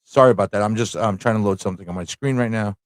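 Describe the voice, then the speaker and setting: A man's voice: fairly low pitch, very fast, dry recording, clean studio sound. A man hosting a casual live stream, thinking aloud in an easygoing, informal way as he chats, reads aloud and reacts.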